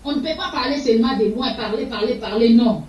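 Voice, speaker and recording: A woman's voice: fairly high pitch, fairly quick, a little mellow. An older woman from Congo, speaking fiercely and repetitively in an interview, sometimes stumbling over repeated words. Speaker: political activist. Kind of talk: impassioned interview answers. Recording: echoey, distant, dull sound.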